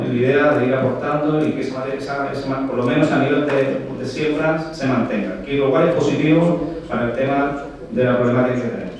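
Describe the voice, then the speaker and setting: A man's voice: medium pitch, darkish tone, a little hiss or hum. Chilean man lecturing in an echoing hall. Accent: Chilean Spanish. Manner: explanatory, frequent "¿vale?" checks, rhetorical questions to the audience. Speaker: agronomy speaker. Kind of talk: technical conference talk. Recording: very echoey hall, voice heard from far off.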